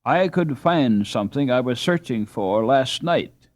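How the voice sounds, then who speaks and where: A male reader voicing an earnest, gentle peasant boy in a storybook reading. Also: mid-range voice, expressive, a little slow, dry recording, lightly acted. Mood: solemn. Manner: earnest, solemn.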